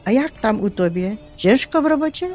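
An actress playing a chatty middle-aged mother in a radio drama, speaking in a hushed, colloquial, teasing way. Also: fairly high pitch, animated, normal speed, faint music behind.

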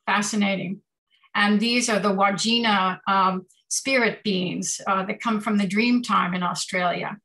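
A woman's voice: mid-range voice, steady tone, a little slow, fairly bright tone, poor audio. A woman artist giving informal commentary on art slides over a video call.

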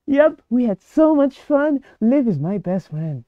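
A man's voice: very high-pitched, big pitch swings, measured pace, dry recording, narrow, tinny audio, pitched above the performer's own voice, exaggerated voice. A man voicing an eager, chatty little girl in a story game, barely changing his own voice. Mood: excited.

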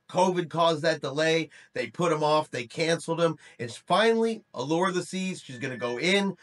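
A middle-aged man with a notably high-pitched voice hosts a news update. He talks straight to the viewer in a conversational style and leans firmly on his key words. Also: a bit bright. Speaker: host of a cruise news channel. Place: small room indoors.

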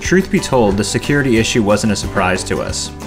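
A man from Canada giving a measured, reflective scripted narration as a homesteader. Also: fairly low pitch, big pitch swings, over music.

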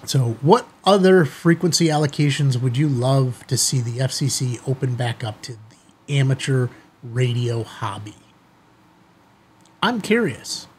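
A middle-aged American man with a slightly husky voice, talking informally and directly to viewers as a video host. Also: medium pitch, animated, measured pace, a little hiss or hum. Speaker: amateur radio video host. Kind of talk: casual chatty commentary to viewers. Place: small indoor studio office, seated at desk.

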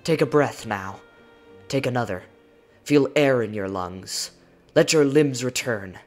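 A man narrating a poem as a dramatic reading, with theatrical delivery and deliberate pauses. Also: mid-range voice, lively intonation, darkish tone. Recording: music behind the voice.

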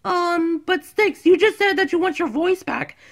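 A woman's voice: very high-pitched, a little slow, creaky, full character voice. A woman voicing a nervous young doctor in a cartoon, hesitant, stammering and easily flustered. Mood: awkward.

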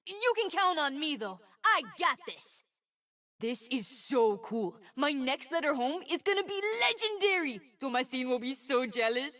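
A woman plays an excitable boy of twelve, a little fire mage from a video game. The voice is high and bright, bouncing widely in pitch. He sounds sweet, earnest and proud of himself. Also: normal speed, recorded from a distance. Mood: hopeful, earnest. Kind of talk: video game character voice lines.

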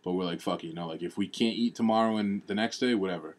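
A man in his thirties with a lowish voice chats loosely at a kitchen table. He tells stories with lots of laughs and casual swearing. It sounds like a relaxed home podcast picked up by a camera across the room. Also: quick pace. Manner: laughs often, animated storytelling with swearing. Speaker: podcast co-host. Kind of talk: casual chat.

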